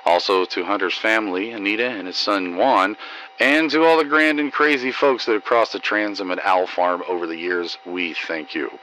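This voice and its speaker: A man hosting, reading scripted material in a declamatory, deliberate way. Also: lowish voice, pushed and bright, slight background noise, poor audio. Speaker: host. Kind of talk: scripted news narration and poem reading.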